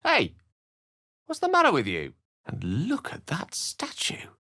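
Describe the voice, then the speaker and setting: A man's voice: on the high side, wildly swooping pitch, a little slow, pushed and bright, no room sound, clean studio sound, exaggerated voice. A man voicing an eloquent, courtly wizard companion in a fantasy video game, speaking formally and theatrically. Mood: urgent. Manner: articulate, formal, theatrical phrasing. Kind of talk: fantasy video game voice acting, dramatic narration and dialogue.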